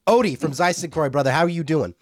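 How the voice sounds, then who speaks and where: A man's voice: on the high side, quick pace, no room sound, clean studio sound. A man co-hosting a podcast, talking in a laid-back, casual way with mild cursing. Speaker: podcast co-host.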